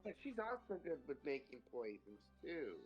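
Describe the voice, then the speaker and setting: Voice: mid-range voice, sing-song, normal speed, muffled recording, faint music behind, lightly acted. A player voicing a sly rogue poisoner who calls others dear.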